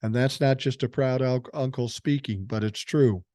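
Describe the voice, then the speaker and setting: A man's voice: mid-range voice, moderate pace, mellow, dry recording, clean studio sound. An older man hosting a political podcast, giving blunt, profane opinions and reading news stories aloud. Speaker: podcast host.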